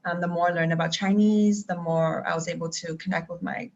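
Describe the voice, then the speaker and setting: A woman's voice: fairly low pitch, fairly even intonation, fairly quick, gravelly, a little mellow. A young woman chatting casually on a live video call, with lots of ums and restarts. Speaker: traveling teacher and guest. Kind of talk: live-stream video chat. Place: home in Taiwan. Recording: dull lo-fi video call audio.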